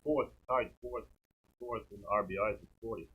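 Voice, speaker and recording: A man's voice: fairly low pitch, normal speed, breathy edge. A man doing color commentary for a baseball game, hesitantly filling in player stats and background. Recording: thin phone-like stream audio.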